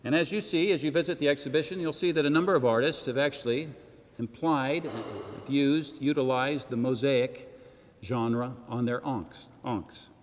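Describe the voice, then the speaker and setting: Man giving a speech in an echoing hall. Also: mid-range voice. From Senegal. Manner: measured, emphatic oratorical phrasing with rhetorical asides. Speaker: arts organisation president giving a launch speech. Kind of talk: formal public speech. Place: church hall, addressing a gathering. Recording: long echoing reverb of a large hall.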